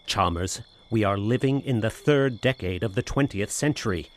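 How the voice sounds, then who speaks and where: An actor playing a sensible, skeptical gentleman in a horror audio drama, speaking with formal, measured, literate diction. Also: lowish voice, natural voice. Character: skeptical, proper gentleman friend and narrator, sensible.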